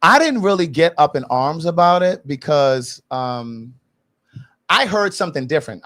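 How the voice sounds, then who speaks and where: Podcast host, man in his late forties, slightly high voice, insistent and repetitive, laughs big. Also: a bit bright. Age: 40s. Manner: emphatic, repeats points, laughs heartily. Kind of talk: casual opinionated chat.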